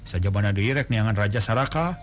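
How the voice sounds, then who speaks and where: A man's voice: on the low side, fast, soft background music, lightly acted. A man voicing an earnest, determined young wandering hero in a told folk tale, speaking plainly.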